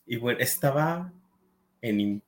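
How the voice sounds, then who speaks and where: Male panelist, Mexican accent, mid-pitched voice, relaxed-format chat with an anecdotal, conversational delivery. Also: slow pace, a little hiss or hum. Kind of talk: casual chat.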